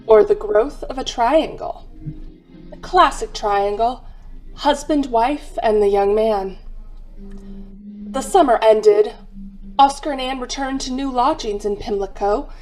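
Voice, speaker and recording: A woman giving dramatic scripted narration for an old-time radio mystery in a measured, wry, knowing manner. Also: on the high side, darkish tone, soft background music, poor audio.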